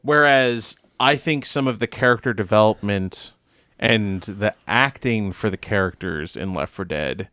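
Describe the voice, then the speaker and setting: A man's voice: fairly low pitch, a little slow, pushed and bright, no echo. A man co-hosting a video game review podcast, chatting casually in an emphatic, blunt, sweary way. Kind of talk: casual group chat.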